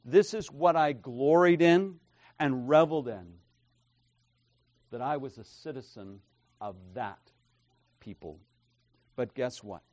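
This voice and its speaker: A man preaching an expository sermon, emphatic and tagging his points with "right?", his loudness swinging from soft asides to forceful declarations. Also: medium pitch, no room sound. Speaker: pastor.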